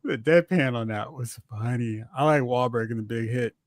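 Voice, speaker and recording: A man hosting a casual, joking movie talk show with an animated delivery. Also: medium pitch, normal speed, no echo, clean studio sound. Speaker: podcast host.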